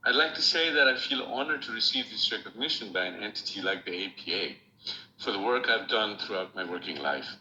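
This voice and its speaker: A middle-aged man with a Nepali accent, giving a measured, formal acceptance speech from a remote room. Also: fairly low pitch, animated, bright, forceful tone. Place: room at home, recorded remotely. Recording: roomy, dull lo-fi with faint hiss.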